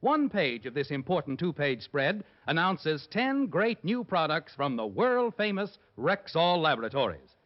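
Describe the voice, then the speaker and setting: High-pitched American radio announcer, muffled vintage sound. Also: male voice, sing-song, unhurried, few pauses. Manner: polished, emphatic delivery. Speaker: sponsor's announcer. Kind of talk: 1950s radio advertising announcement. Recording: muffled old radio recording, faint music.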